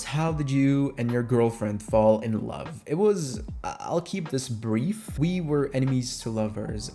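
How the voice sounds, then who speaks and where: A man's voice: medium pitch, warm tone. A young adult man chats casually and informally as an audio creator answering fan questions, close on the microphone. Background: music.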